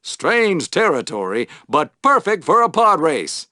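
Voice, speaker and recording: An actor playing an upbeat, showy sci-fi podrace announcer in a video game, delivering wisecracking play-by-play commentary. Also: male voice, a little high, big pitch swings, unhurried, bright, forceful tone, no echo, studio quality, exaggerated voice. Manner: showy broadcaster patter with wisecracks. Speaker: sports race announcer.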